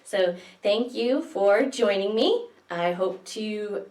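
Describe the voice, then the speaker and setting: A woman's voice: mid-range voice, animated, takes it slowly, darkish tone, far from the mic. A woman hosting a story time for small children, reading and explaining things simply, as a children's librarian does. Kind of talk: picture-book reading and simple craft demonstration for small children. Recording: echoey room, away from the mic.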